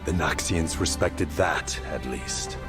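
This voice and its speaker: A man's voice: extremely low pitch, takes it slowly. A man playing a remorseful, loyal warrior in a dramatic game story, earnest and solemn, over music. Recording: loud music underneath.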